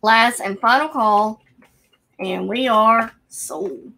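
A woman in her thirties with a fairly high voice co-hosts a live clothing auction from a cluttered room at home. She calls bids briskly and describes each piece plainly. The sound is that of a webcam at her desk. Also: gravelly, pushed and bright, slight background noise. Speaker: online auction co-host.

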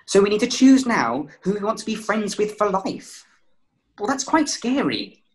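A man's voice: high voice, very animated, moderate pace. A young actor playing an anxious eleven-year-old boy with a hesitant, worried way of talking, in a stage play read on video call. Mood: awkward. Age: young adult. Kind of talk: stage drama table read. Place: small room at home, video call.

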